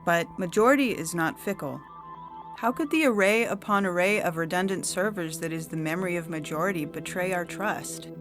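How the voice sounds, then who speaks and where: A composed female judge played by a woman reader speaks in a slightly low voice. Her delivery is formal, measured and coolly condescending. Music runs underneath this science fiction audio story. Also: close to an everyday voice. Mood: stern, patronizing.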